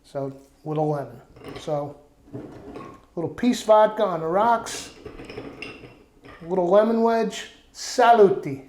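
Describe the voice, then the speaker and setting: A man rambles through a home cooking vlog as its host, telling loose stories. His voice sits slightly high. The sound is roomy and echoey. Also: slow, pauses often, darkish tone, slight background noise. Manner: rambling, chatty storytelling. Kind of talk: casual vlog chat. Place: outdoor backyard kitchen.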